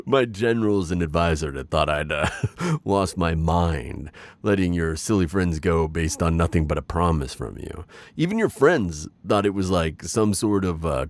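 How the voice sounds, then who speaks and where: Very deep, creaky man as a smug villain warlord. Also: no room sound, heavily acted. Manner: theatrical, commanding yet playful, lingering pauses, chuckles. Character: gloating, besotted dark warlord villain, adult man. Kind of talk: intimate roleplay audio acting, ASMR.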